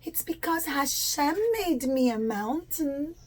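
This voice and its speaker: A woman voicing a small, humble male mountain in a puppet show, modest, meek and soft-spoken. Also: sits high, slow. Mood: meek, grateful.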